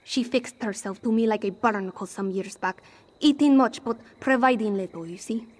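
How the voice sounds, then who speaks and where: Woman acting a sardonic, high-voiced cat-woman rogue. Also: moderate pace, a little mellow, close to an everyday voice. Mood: wry, resigned. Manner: theatrical, sardonic, speaks of herself in third person. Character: wry, proud cat-folk woman smuggler, stubbornly vengeful. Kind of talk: scripted fantasy game dialogue.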